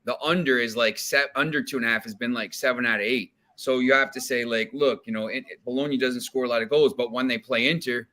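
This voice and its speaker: A man's voice: medium pitch, steady tone, fast talker, few pauses, sharp tone. A man from New Jersey, a guest on a sports betting podcast, talking casually and rambling with many restarts and fillers. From New Jersey, USA.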